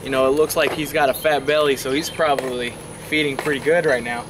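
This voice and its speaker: A man's voice: mid-range voice, steady tone, brisk. A young man talking casually to camera while fishing by a river, explaining his baits with plenty of fillers, on a lapel mic. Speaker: fishing vlogger. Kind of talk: casual outdoor fishing vlog. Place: outdoors on a riverbank. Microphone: clip-on lapel mic. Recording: outdoor noise behind.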